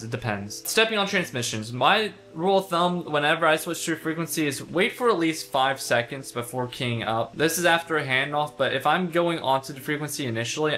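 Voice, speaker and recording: Young man, casual video instructor, crisp bright edge, emphatic on key points, faint music underneath. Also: mid-range voice. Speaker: instructor, video host and pilot.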